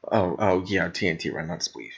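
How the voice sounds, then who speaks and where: A young man co-hosting a podcast in blunt, rambling, sweary casual chat, with a slightly raspy voice. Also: low voice, lively intonation, a little fast, highs cut off. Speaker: podcast co-host.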